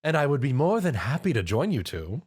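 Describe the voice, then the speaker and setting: A man's voice: mid-range voice, big pitch swings, darkish tone, breathy edge, no room sound, clean studio sound, voice pushed up. A man voicing an elegant, theatrical female unicorn pony with a refined, teasing manner. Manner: refined and teasing, drawn-out endearments.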